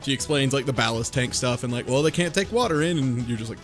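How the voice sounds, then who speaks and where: A man in a casual group discussion, excitably retelling a game story with swearing and acted-out dialogue. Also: mid-range voice, fast talker. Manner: excitable, profane, acts out dialogue. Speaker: podcast panelist. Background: background music.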